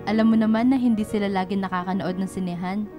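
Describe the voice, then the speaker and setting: A woman's voice: medium pitch, steady tone, fast, warm tone, natural voice. A woman playing a grateful, tender mother in a radio drama, speaking earnestly. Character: grateful, tender working-class mother of two girls. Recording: music bed behind the voice.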